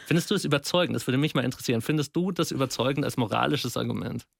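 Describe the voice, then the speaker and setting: Guy talking through ideas in a discussion, mid pitch, rewording as he goes, dry sound. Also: very fast, vocal fry, no room sound. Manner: explanatory, rephrases points as they form. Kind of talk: conversational discussion.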